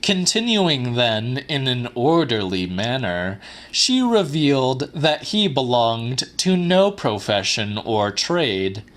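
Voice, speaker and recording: A man's voice: mid-range voice, big pitch swings, slow pace, few pauses, fairly bright tone. A man narrating a literary audiobook in a dramatic scripted reading style.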